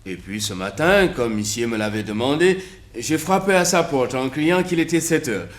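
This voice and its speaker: An actor playing a hesitant, dutiful hotel night porter in a French radio detective drama. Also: male voice, medium pitch, animated, moderate pace, natural voice.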